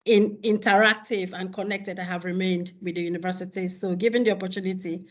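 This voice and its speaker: Female candidate, lower pitch, earnest and steady, video-call statement. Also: a little fast. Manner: earnest, listing points steadily. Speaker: rector candidate. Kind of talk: prepared campaign statement.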